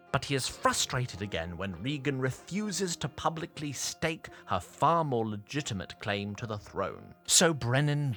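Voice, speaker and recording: A man's voice: mid-range voice, fairly bright tone. A man narrating a scripted fantasy recap with storybook formality and wry asides.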